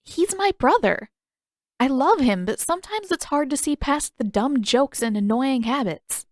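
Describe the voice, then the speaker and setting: A woman's voice: high-pitched, animated, unhurried, no room sound, pitched above the performer's own voice. Earnest teenage fantasy heroine, eager, frank and informal, played by a woman narrator, closely dry recording. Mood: awkward, fond.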